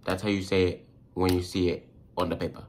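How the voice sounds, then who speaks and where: A man with a fairly low voice hosts an informal pronunciation lesson. He is a native English speaker who explains foreign-language sounds in loose, slangy talk. He often repeats a word to demonstrate it. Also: level tone, fairly quick, slight background noise. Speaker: host of a language tips video. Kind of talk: casual vlog-style pronunciation lesson.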